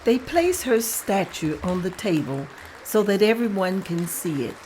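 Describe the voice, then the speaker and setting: A woman narrating the stage directions at a table read in a plain, steady way. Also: mid-range voice, a little slow, a faint crowd, a little hiss or hum, poor audio.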